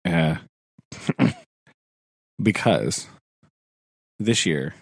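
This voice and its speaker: A man's voice: sits low, expressive, drawn-out words, breathy edge, dry recording. A man, a teacher co-hosting a podcast, chatting casually, laughing often and acting out his own thoughts. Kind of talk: casual chat. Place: home.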